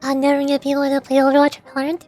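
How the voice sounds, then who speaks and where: A woman streamer in casual chat, talking in a chatty, halting way with fillers and restarts. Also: sits high, monotone, darkish tone. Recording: faint music behind.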